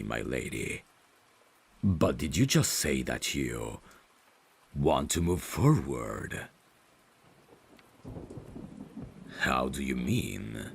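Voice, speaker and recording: A man acts out a courteous vampire butler in an intimate ASMR drama. His voice is extremely deep and very breathy, with a gravelly creak. He chooses formal, courtly words with deliberate care, devoted and tender with a hint of menace. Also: heavily acted. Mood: startled, concerned. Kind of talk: intimate ASMR audio roleplay acting.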